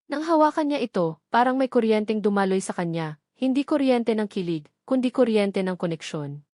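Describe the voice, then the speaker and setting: A woman's voice: mid-range voice, animated, moderate pace, mellow, no room sound, clean studio sound. Filipino woman narrating a dramatic story. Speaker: audiobook narrator.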